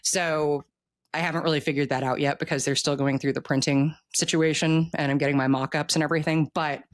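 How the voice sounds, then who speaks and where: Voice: medium pitch, level tone, brisk. Talkative middle-aged author chatting candidly. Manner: talkative, candid, informal, emphatic. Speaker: author being interviewed. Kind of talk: casual interview conversation.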